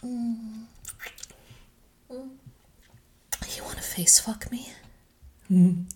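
A young woman speaking in a breathy, intimate whisper with soft sighs, close to the microphone. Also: mid-range voice, animated, very slow. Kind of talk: whispered audio roleplay.

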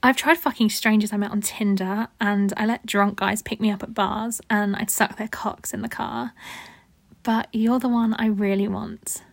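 A woman playing a seductive, needy lover in an intimate erotic audio roleplay, husky and breathy, often slipping into whispers, with a coaxing manner. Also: mid-range voice, fairly even intonation, no echo. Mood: sultry, confiding. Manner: slow, sultry, coaxing.

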